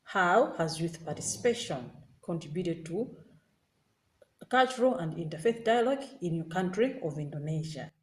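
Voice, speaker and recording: A woman from Malawi with a low-pitched voice gives a prepared introduction and asks questions. She stresses key words emphatically, and her voice carries a roomy echo. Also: lively intonation, moderate pace. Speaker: interfaith dialogue speaker.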